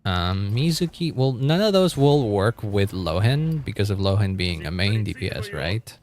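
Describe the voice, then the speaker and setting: Low-pitched man streaming a game. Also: big pitch swings, normal speed, a little mellow. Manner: thinking aloud, informal, swears casually. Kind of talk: casual live-stream chat while gaming.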